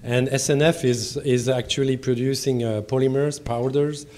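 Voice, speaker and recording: A French man with a French accent moderating a trade panel, speaking formally with many pauses, fillers and restarts. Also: mid-range voice, fairly even intonation, darkish tone. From France.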